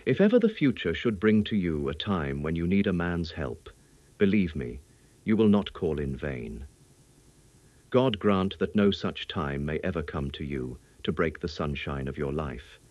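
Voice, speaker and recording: A British man voicing a grieving, courtly young gentleman in an audiobook, speaking earnestly and formally with emotion. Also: sits low, big pitch swings, a little slow, dry recording, highs cut off, heavily acted. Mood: grateful, solemn.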